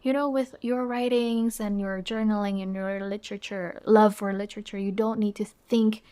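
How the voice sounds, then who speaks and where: A young woman from Indonesia, speaking English as her second language, with a voice of middling pitch. She chats as a podcast guest. She thinks aloud, restarting phrases and adding fillers as she reflects. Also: steady tone, moderate pace, mellow, clear, resonant tone. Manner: thoughtful, frequent fillers and restarts. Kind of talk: reflective conversational interview.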